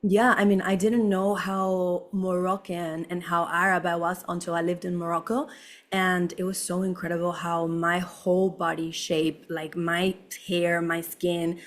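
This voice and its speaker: A young woman from Venezuela, a podcast guest talking effusively, laughing often and filling her speech with "like". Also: lowish voice. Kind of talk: casual conversation.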